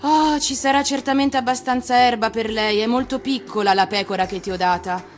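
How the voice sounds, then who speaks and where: A woman voicing a stranded adult pilot in a storybook reading, puzzled, gently impatient and quiet in manner. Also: fairly high pitch, brisk, pitched above the performer's own voice, lightly acted. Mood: brisk, reassuring.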